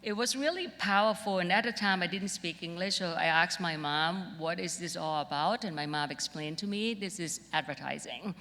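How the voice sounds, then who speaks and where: A woman's voice: lowish voice, normal speed, few pauses, bright, forceful tone. A middle-aged woman from Thailand with a Thai accent, giving an informal, conversational keynote built on personal stories. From Bangkok, Thailand. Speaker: keynote speaker, creative director. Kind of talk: conference keynote, personal storytelling. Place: large auditorium stage. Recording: reverberant auditorium with long echo.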